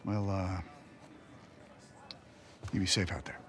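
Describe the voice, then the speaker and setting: A man acting a sheepish, gruff older American man in a video game, speaking haltingly and hesitantly. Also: low-pitched, little pitch movement, faint music behind.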